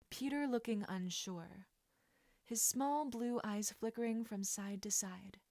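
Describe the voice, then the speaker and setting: A woman reading a story aloud as an expressive audiobook narrator. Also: medium pitch, no echo, studio quality. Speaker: audiobook narrator of fan fiction.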